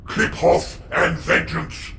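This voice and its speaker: An actor playing a proud, vengeful ruler in a video game, declaiming with defiant, commanding force. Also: male voice, takes it slowly, bright, forceful tone. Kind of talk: video game villain cutscene acting. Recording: echoing, distant, with faint noise.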